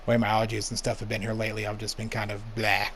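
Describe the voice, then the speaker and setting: Hoarse, laid-back young man. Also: lowish voice, completely flat delivery, very fast, fairly bright tone, a little hiss or hum. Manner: laid-back, plain-spoken, rambling asides. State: hoarse from allergies. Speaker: gaming channel host. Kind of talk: casual gameplay commentary.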